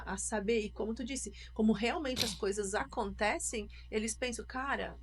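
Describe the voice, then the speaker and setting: A woman hosting a podcast, agreeing and building on her guest's points in casual conversation. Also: medium pitch. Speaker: podcast host.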